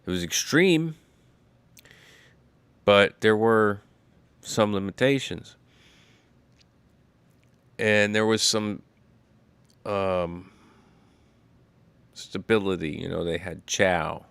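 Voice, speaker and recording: Slow, deep-voiced man rambling on a stream. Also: gravelly, a bit bright, clear, resonant tone, no echo. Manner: slow, rambling, with many fillers. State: congested after illness. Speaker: livestream host. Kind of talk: casual solo livestream talk.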